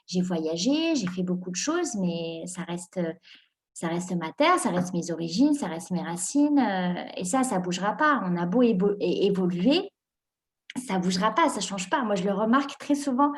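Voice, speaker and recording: A young woman, born and raised in Morocco, answering interview questions in an animated way, laughing often and restarting her sentences with many fillers. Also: mid-range voice, moderate pace. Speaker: guest entrepreneur.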